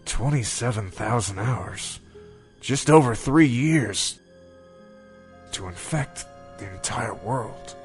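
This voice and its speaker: A man playing a grave, measured senior biologist in a horror read-along audio drama. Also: mid-range voice, lively intonation. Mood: grim, calculating. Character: grave senior male biologist explaining a horrifying threat. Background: music.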